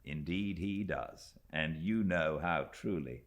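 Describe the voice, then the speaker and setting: A man voicing an earnest, admiring young British gentleman in a classic audiobook, speaking sincerely and eagerly. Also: a bass voice, slow pace. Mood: earnest, amazed. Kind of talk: dramatised classic audiobook dialogue.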